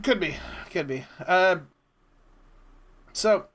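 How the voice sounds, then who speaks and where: A man's voice: sits high, animated, drawn-out words, fairly bright tone. A man in his thirties chatting casually and teasingly, asking probing questions like an interviewer. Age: 30s. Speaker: prank caller and interviewer. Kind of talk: casual teasing phone chat.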